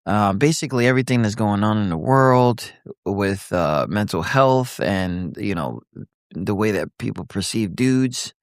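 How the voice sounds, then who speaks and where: A man's voice: on the low side, expressive, a little slow, dry recording, studio quality. Stumbling, joking radio host man. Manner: loose, joking, stumbles over words. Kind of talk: casual interview hosting.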